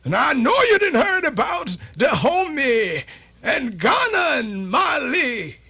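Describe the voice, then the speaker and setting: A man's voice: very high voice, sing-song, takes it slowly, creaky, pushed and bright, exaggerated voice. A man playing an aged, weary yet proud storyteller elder, with a folksy, solemn, oratorical delivery in a radio theatre monologue. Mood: proud, defiant. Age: older. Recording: music playing under the voice.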